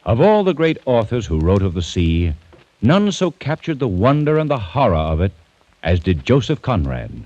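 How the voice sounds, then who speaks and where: A man narrating a 1940s radio series in a formal, measured, dramatic manner. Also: on the low side, huge pitch swings, highs cut off, music in the background. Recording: old radio recording.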